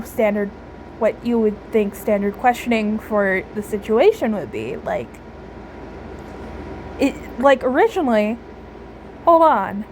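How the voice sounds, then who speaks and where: A woman giving casual reaction commentary on a video game, halting as she thinks aloud. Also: fairly high pitch, darkish tone, poor audio. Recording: background noise under commentary.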